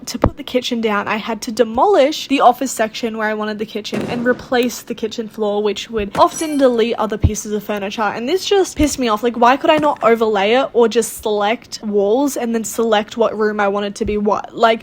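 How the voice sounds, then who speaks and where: A woman's voice: fairly high pitch, slight background noise. A woman giving casual gameplay commentary in a sarcastic, exasperated, complaining way. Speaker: gaming video host.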